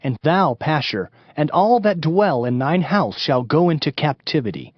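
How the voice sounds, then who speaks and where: An actor playing a stern prophet who declaims warnings of doom in a dramatized scripture reading. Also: male voice, fairly high pitch, lively intonation, moderate pace, slightly rough, no room sound. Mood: stern proclamation. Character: stern male Hebrew prophet proclaiming doom. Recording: slightly muffled, lo-fi. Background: prominent music.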